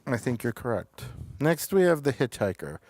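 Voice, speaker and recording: A man's voice: mid-range voice, very animated, moderate pace, a little mellow, no room sound. A man hosting a casual review chat, often hesitant as he searches for words and details. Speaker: show host reviewing television episodes.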